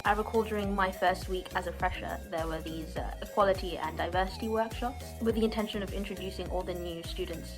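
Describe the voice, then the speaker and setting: A woman's voice: medium pitch, fairly even intonation, a little fast, hardly any pauses, over music. A young woman, earnestly telling her personal story as a student, with some hesitant fillers. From London, England. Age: 20s. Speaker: university student. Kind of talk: personal story, read to camera.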